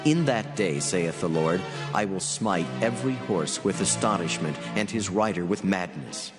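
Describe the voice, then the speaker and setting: A very deep male voice rings out in long reverb, a majestic, solemn God. The weighty scripture declamation has clear music behind it. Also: expressive, moderate pace. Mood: majestic, ominous. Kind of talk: dramatized scripture reading.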